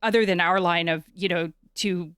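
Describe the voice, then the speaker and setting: A Canadian woman hosts a conversational interview in a smooth, clear voice pitched a little low. Her delivery is informal and halting as she feels for words. The recording is dry and close. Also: steady tone, a bit bright, no room sound.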